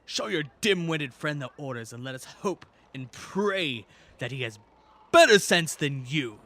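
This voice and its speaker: Man acting a pompous high priest, slow and swooping. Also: animated, bright, forceful tone, heavily acted. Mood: scornful. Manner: haughty, halting, commanding. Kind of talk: fan audiodrama acting.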